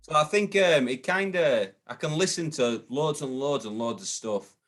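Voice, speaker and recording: A man's voice: a little high, moderate pace, fairly bright tone. A man, a guest in a casual interview chat, hesitant with many ums and repeated starts. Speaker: guest, booking agent.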